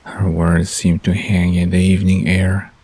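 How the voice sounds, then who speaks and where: A man narrating a scripted bedtime audio drama in a husky, gravelly, hushed storytelling voice. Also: sits low, level tone, unhurried, no room sound.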